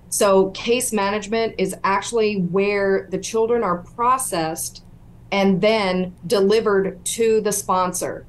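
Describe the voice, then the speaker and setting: A middle-aged woman speaking as a podcast guest, with a voice of middling pitch for a woman. She recounts her experience earnestly, step by step. She sounds steady and engaged in the conversation. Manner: earnest, storytelling. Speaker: whistleblower guest. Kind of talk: interview.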